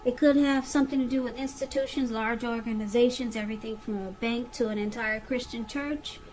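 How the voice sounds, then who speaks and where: A woman's voice: a little high, fairly even intonation, fairly quick, darkish tone, faint music behind, low-quality recording. A woman giving a conversational tarot reading straight to the listener, repeating phrases for emphasis. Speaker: tarot reader.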